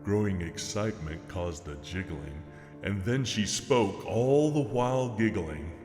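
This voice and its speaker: A man's voice: on the low side, expressive, a little slow, darkish tone. A man gives a dramatic, theatrical reading of a spooky narrative poem, leaning into the rhythm and rhyme as storyteller. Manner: theatrical, rhythmic rhyming delivery. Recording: long reverb with music behind.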